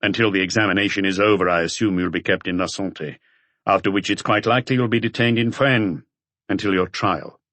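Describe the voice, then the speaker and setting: A man voicing a weary, measured police inspector in an audiobook, muted and matter-of-fact. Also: sits low, a bit bright, no echo.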